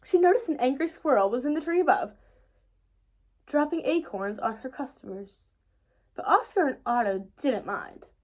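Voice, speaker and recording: High-pitched, animated woman reading a storybook. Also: normal speed. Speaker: storybook reader. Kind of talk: expressive children's read-aloud narration.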